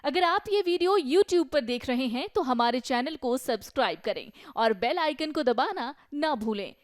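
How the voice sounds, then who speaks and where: A woman delivers a scripted channel promo in a high voice. The sound is dry and close, free of room echo. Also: lively intonation, moderate pace, faint music behind. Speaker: channel announcer.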